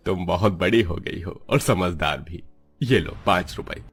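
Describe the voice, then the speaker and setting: Man as a low-voiced tired village father. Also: unhurried, breathy edge. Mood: kindly. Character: tired poor village father, a kindly labourer. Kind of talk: Hindi cartoon dialogue.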